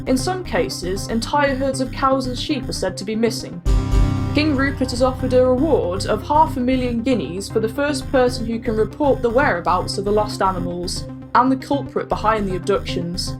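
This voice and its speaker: A woman's voice: on the high side, close to an everyday voice. A woman playing a formal evening news presenter reading a mock bulletin in an audio pantomime. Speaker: newsreader.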